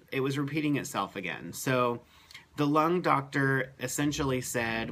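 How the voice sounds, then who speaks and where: A man speaking at an everyday middle pitch, recounting his health update in a plain, matter-of-fact way. He talks casually to the camera, pausing often with ums and uhs. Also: fairly bright tone, a little hiss or hum. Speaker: vlogger giving a health update. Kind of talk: casual first-person account.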